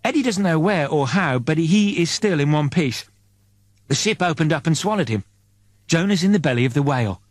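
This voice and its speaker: A man reading an audiobook as a dramatic, suspenseful narrator in deliberate short phrases. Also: a little high, animated, dry recording.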